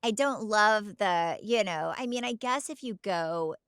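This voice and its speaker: A woman's voice: medium pitch, animated, a little slow, fairly bright tone, smooth, dry recording. A woman co-hosting a podcast in casual, candid conversation. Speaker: podcast co-host.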